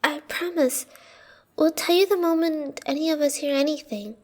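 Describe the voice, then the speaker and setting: A woman's voice: sits high, moderate pace, a little mellow, close to an everyday voice. A woman playing an earnest young court deputy in an audio roleplay, deferential and apologetic. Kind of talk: audio roleplay drama acting.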